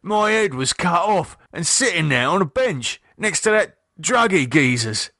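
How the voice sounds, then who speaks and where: A man voicing an anxious working-class husband, speaking in nervous, halting, pleading bursts. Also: high voice, very animated, bright, forceful tone, dry recording, studio quality, pitched above the performer's own voice, heavily acted. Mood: shaken.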